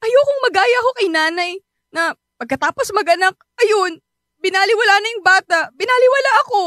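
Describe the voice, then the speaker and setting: A woman's voice: very high-pitched, animated, a little fast, a bit bright, no echo, studio quality. A woman playing a distraught, resentful teenage daughter in a radio drama, pleading and emotional. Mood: hurt, resentful.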